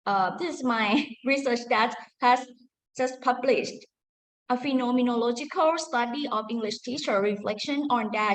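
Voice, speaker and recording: A woman with a Thai accent, a university lecturer giving a careful, halting academic conference talk with frequent restarts. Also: a little high, fairly bright tone, low-quality recording. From Thailand. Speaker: university lecturer presenting research.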